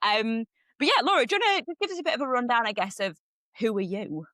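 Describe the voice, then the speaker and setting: A woman's voice: fairly high pitch, very animated, fast, fairly bright tone, no room sound, clean studio sound. A young woman with a Yorkshire accent hosting a podcast in casual chat, filling her talk with "like" and "um". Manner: chatty, filler-heavy, says "like" often. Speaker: podcast host.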